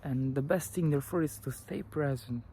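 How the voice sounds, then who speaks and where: A young man from Italy with an Italian accent, talking earnestly to camera and sharing advice, often pausing and restarting his sentences. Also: medium pitch, dark tone, slight background noise. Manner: earnest, halting, with many restarts and fillers. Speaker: vlogger. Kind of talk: personal advice talk to camera.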